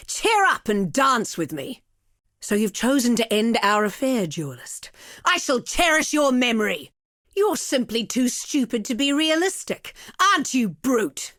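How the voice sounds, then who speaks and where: A woman's voice: on the high side, sing-song, measured pace, a bit bright, no echo, studio quality. An actress playing a cruel, haughty female villain in a video game, with a silky, mocking and condescending manner. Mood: silky, cold.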